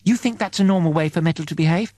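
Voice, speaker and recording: A man voicing a grave, earnest and worried spaceship crew member in an audiobook, low-key but urgent. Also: fast talker, a little mellow, no echo, clean studio sound, natural voice.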